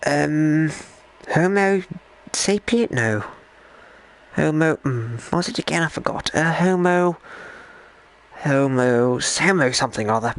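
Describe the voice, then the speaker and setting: A man voicing a genteel, bumbling 1920s English gentleman, rambling in old-fashioned posh phrases on a live stream, hesitant and repeating himself. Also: on the high side, animated, a little slow, long pauses. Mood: scandalised. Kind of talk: in-character live stream chat while gaming.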